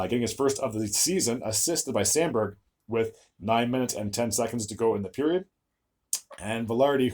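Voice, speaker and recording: A young man hosting a solo hockey recap video, his voice a bit raspy from recording several videos in a row, stumbling over player names and filling gaps with "uh". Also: mid-range voice, a little mellow. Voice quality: slightly raspy, tired voice.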